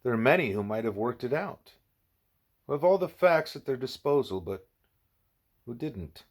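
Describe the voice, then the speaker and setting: A man reading the part of a wise, patient teacher in a novel, speaking deliberately and didactically. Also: mid-range voice, animated. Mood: patient, instructive. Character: wise, patient teacher leading a pupil by questions.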